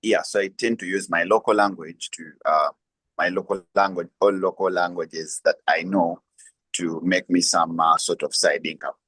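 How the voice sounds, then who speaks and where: A young man with a Ugandan accent answers interview questions in a very deep voice. The recording is dry and close, and he talks hesitantly, leaning on um fillers as he explains. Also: steady tone, normal speed, sharp tone, breathy edge, dry recording. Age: young adult. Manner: hesitant, many um fillers, laughs lightly. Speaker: guest, lawyer and freelancer. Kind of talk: conversational interview.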